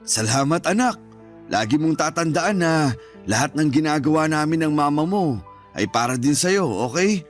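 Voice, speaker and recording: A man's voice: fairly high pitch, expressive, normal speed, lightly acted. Man acting devoted Filipino father over music. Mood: happy, affectionate. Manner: affectionate, encouraging, conversational. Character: devoted, reassuring middle-aged father of a college-age daughter. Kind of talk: Filipino radio drama acting.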